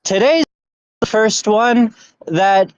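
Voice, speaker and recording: A man's voice: sits high, slow, smooth, dry recording, muffled recording. A young man casually hosting a podcast, rambling with lots of "anyways" and laughter. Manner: rambling, many fillers and laughs. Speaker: podcast host.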